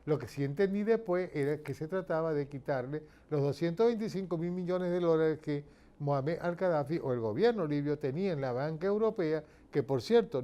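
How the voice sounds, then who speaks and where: A Venezuelan man speaks as a political commentator giving an informal lecture. His voice sits a little high, with a soft, mellow tone. He explains emphatically, often posing rhetorical questions and checking that people follow.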